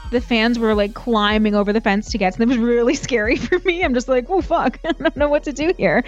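A woman's voice: a little high, a little fast, muffled recording, faint music behind. A woman chatting casually as a podcast guest, giggly, self-mocking and free with swearing. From Canada. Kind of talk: casual chat.

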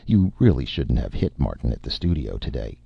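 A man plays a resigned, practical studio businessman in a comic story. He sounds very deep and gravelly, a matter-of-fact peacemaker who is tired of the squabbling. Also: big pitch swings, a little fast, dry recording, lo-fi sound, exaggerated voice.

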